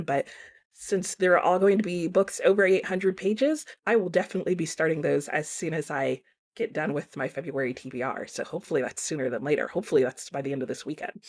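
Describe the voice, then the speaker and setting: Casting: female book reviewer, lowish pitch, informal and conversational, talking straight to viewers. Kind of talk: casual chatty book review to camera.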